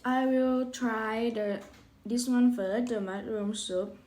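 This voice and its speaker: A woman's voice: fairly high pitch, steady tone, slow pace, darkish tone. A woman vlogging casually about food, speaking in simple, hesitant English. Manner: hesitant, simple non-native English phrasing. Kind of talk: casual food vlog to camera.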